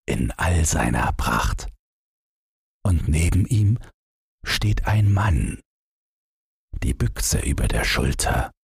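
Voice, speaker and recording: A man reading a literary Christmas story as an audiobook narrator, in a measured, dramatic storytelling manner. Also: very deep voice, gravelly, darkish tone, husky, no room sound, clean studio sound.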